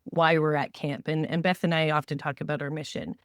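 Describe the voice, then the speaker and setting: Halting, low-voiced woman on a podcast. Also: little pitch movement, no room sound, clean studio sound. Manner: halting, repeats words as she works out thoughts. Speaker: podcast co-host. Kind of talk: conversational podcast discussion.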